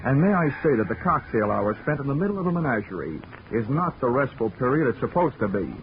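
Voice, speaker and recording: A man acting a wisecracking, deadpan hard-boiled insurance investigator in a 1940s radio drama. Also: mid-range voice, fast, a little hiss or hum. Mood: wry narrating. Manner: wry, deadpan. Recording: dull old radio recording.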